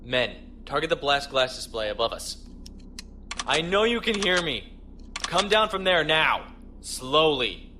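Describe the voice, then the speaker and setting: A man's voice: fairly high pitch, very animated, a little slow, pauses often, noisy background. A man acting a stern, decisive young starship captain in a sci-fi audio drama. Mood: stern threat.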